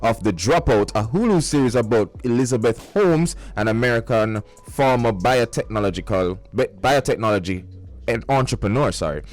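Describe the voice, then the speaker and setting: A man with a Jamaican accent, co-hosting morning radio in a lively, animated way. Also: medium pitch, soft background music. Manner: lively, animated, quick to laugh. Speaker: radio co-host.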